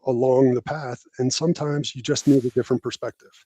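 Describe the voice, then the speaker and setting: A man's voice: medium pitch, fairly even intonation, a little fast, dark tone, dry recording. A man giving conversational interview answers, explaining things plainly in short declarative phrases. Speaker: podcast guest, technology professional and army veteran.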